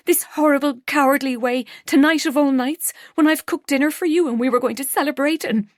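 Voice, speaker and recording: A woman's voice: high-pitched, a little fast, dry recording, studio quality, voice pushed up, close to an everyday voice. A woman reading an audiobook, voicing a heartbroken young woman who turns tearful and bitter. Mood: hurt. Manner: tearful, pleading then cold and clipped.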